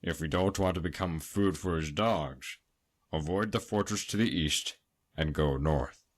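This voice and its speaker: World-weary male guide for a fantasy game: extremely low register, matter-of-fact, grim. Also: measured pace. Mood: grimly helpful. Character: world-weary local man giving grim warnings to a traveler. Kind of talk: video game dialogue.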